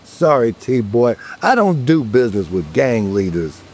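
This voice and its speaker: An actor playing a world-weary, curt and sardonic police detective in an audio drama. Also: male voice, mid-range voice, big pitch swings, measured pace, darkish tone, slight background noise.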